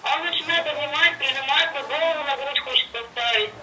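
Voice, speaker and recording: A woman on a telephone call, giving a halting personal account in everyday conversational speech. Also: sits high, over a noisy crowd. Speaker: caller telling her personal story. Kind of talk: hesitant personal account over the phone. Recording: distant telephone line with faint background voices.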